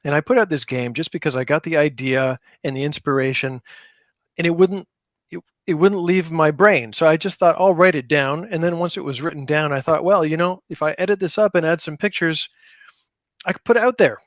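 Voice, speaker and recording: A man's voice: on the high side, fairly quick, dry recording, studio quality. A middle-aged man telling anecdotes casually as an interview guest, repeating words for emphasis. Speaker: podcast guest, game creator; high school teacher.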